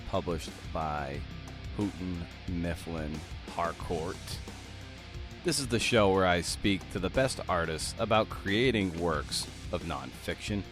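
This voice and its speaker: A man hosting a podcast, moving between scripted introduction and interview questions. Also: sits low. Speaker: podcast host.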